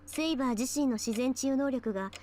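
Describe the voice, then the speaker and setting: A woman as a brisk high-voiced anime schoolgirl. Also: a crowd in the background, natural voice. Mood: explaining. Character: brisk, sharp-tongued teenage schoolgirl mage. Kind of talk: Japanese visual novel voice acting.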